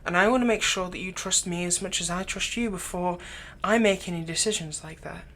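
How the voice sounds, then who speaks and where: A man playing a doting, lightly teasing fantasy villain captor in an ASMR roleplay, speaking softly and tenderly, coaxing the listener without hurry. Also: sits high. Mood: tender, earnest. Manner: soft, coaxing, unhurried, tender.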